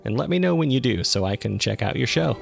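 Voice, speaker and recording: A man's voice: medium pitch, animated, fast, vocal fry, over loud music. A man hosting a podcast, reading scripted narration straight to the listener. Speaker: podcast producer and host.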